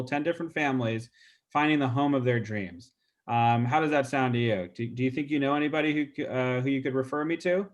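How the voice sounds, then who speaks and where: A man with a stuffy nose talks through a real estate call script over a video call. His pitch is mid-range, and his delivery is chatty and informal, full of ums and restarts. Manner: casual, chatty, many fillers. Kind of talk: role-play training demo.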